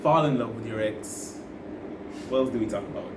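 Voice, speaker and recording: A man's voice: fairly low pitch, moderate pace. A man co-hosting a podcast, teasing his co-host in casual chat while losing his voice. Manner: teasing, joking. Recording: roomy, distant mic with noise.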